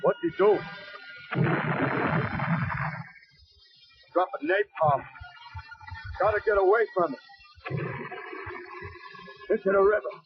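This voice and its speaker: A man's voice: sits high, lightly acted. Terse, commanding action hero, male, acted by a man, for a kids' tape adventure; lo-fi cassette sound. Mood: urgent. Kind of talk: children's read-along cassette dramatisation. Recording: dull 1980s cassette tape.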